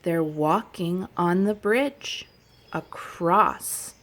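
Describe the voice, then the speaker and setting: A woman who teaches young children, chatting and reading aloud gently in simple, unhurried phrases with little explanations. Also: on the low side. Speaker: childcare educator leading story and song time for toddlers.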